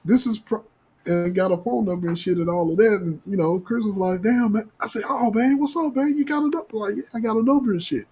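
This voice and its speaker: A man with a husky voice telling a casual podcast story in slangy, profane talk, acting out the dialogue. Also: high-pitched, fast talker, warm tone, faint music behind. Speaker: podcast co-host.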